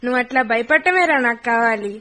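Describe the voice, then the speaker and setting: An actress playing the playful younger daughter of the family in a radio play. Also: female voice, on the high side, expressive, fast talker, bright, forceful tone. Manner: playful, pleading. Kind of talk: radio drama acting.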